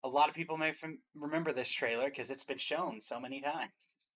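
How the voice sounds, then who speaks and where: A man's voice: fairly high pitch, fairly even intonation, brisk, fairly bright tone. A man hosting a casual reaction show, explaining game trailers to his audience.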